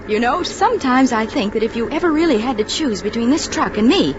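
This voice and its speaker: A woman's voice: a little high, lively intonation, brisk, close to an everyday voice. Woman playing sweet teasing young wife in a 40s radio drama, crackly muffled old recording. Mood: teasing, fond. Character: affectionate, teasing young wife, encouraging and gentle. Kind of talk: 1940s radio drama acting. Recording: noisy, dull vintage radio recording.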